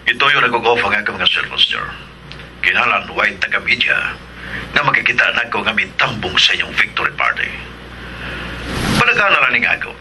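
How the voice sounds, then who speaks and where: A man's voice: lowish voice, very animated, brisk, long pauses. A man acting a deferential subordinate reporting to his boss in a Filipino radio action drama, with a Filipino accent. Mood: respectful, explaining. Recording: noisy ambience behind radio drama voice.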